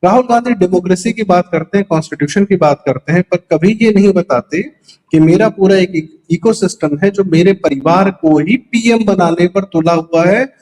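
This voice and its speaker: A man's voice: high-pitched, moderate pace, a little mellow, rough recording. A man giving opinionated political commentary in a conversational, explanatory way, emphatic and mixing in English words. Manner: emphatic, poses rhetorical questions and answers them; mixes English words into speech.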